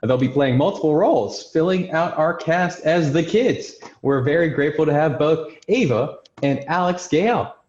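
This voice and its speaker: A man's voice: a little high, lively intonation, normal speed, hardly any pauses, darkish tone. A man hosting a table read, giving scripted introductions and reading screenplay stage directions. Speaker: host and stage-direction narrator. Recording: roomy, dull lo-fi sound.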